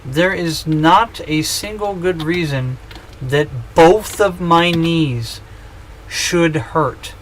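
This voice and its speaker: A man's voice: a little high, extremely slow, a bit bright, slight background noise, poor audio. A man in his thirties chatting casually on a gaming livestream, joking and rambling with self-mocking humor. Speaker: streamer.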